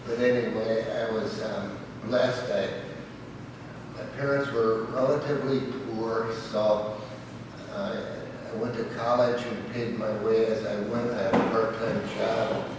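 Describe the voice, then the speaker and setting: A man's voice: on the low side, fairly even intonation, measured pace. Older man speaking in an echoey hall. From Michigan, raised in Southern California. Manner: folksy, chuckling, with fillers and repeated okays. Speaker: guest speaker at a club meeting. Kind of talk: informal anecdotal talk. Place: large echoey meeting hall. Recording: echoey hall from far off with noise.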